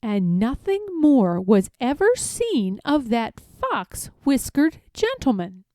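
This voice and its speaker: A woman reads a children's story aloud with very lively, swooping intonation. Her voice is fairly high yet mellow, and the recording is close and dry. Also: takes it slowly, no room sound.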